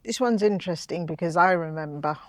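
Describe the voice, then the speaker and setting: Brief: woman, lowish voice, relaxed storyteller, dry close sound. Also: a little fast, dry recording. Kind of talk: intimate interview conversation.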